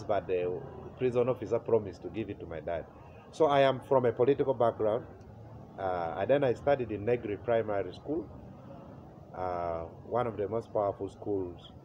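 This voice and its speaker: Man in his forties, Ugandan accent, slightly low pitch, dull faintly noisy recording, unhurried, pausing often. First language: Acholi. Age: 40s. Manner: unhurried, pausing with "uh", chuckling at memories. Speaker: interview guest. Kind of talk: relaxed life-story telling. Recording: slightly muffled with faint noise.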